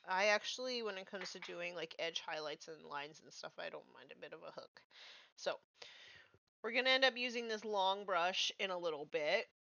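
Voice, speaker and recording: A woman's voice: on the low side, moderate pace, creaky, pushed and bright. A woman streaming as she paints miniatures, chatting casually and thinking aloud as she works, a little nasal from a cold. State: has a cold, a bit nasal. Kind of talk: casual chat while painting. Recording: dull, slightly lo-fi stream audio.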